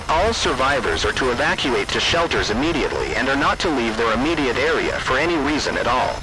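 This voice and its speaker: A man reading scripted emergency alert announcements in a formal, deliberate manner. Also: medium pitch, poor audio. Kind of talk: scripted emergency broadcast narration.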